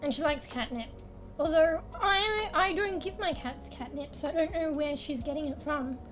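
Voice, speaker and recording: A young woman streamer, a little raspy today, chatting casually at home into a desk mic, rambling, laughing a lot and swearing now and then. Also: high-pitched, normal speed. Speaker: game streamer. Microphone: desk microphone with fan blowing on it. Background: soft background music.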